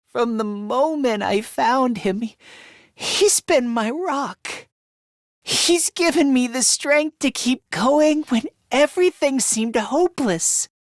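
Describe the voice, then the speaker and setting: A man voicing a timid, self-deprecating yet excitable teenage boy in a video game, with a hesitant, stammering delivery and theatrical anime-style acting. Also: very high voice, no echo, studio quality, exaggerated voice. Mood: hesitant, earnest. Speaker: voice actor.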